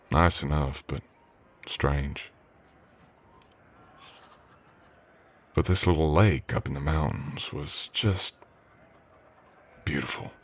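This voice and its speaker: A man playing a polite drifter cowboy hired on an Old West ranch, talking in an unhurried folksy drawl in an ASMR roleplay. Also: extremely low pitch, breathy edge, heavily acted. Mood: wistful, reflective. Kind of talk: ASMR roleplay acting, addressing the listener.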